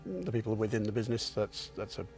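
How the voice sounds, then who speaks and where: Reflective low-voiced man in his sixties. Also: lively intonation, a little mellow, soft background music. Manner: reflective, modest, halting with restarts. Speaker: company founder and chairman. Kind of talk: interview answers.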